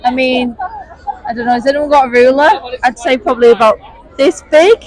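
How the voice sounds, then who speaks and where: A woman's voice: high-pitched, brisk, darkish tone, a faint crowd, poor audio. A young woman, answering a street interviewer bluntly and cheekily, outdoors on a street.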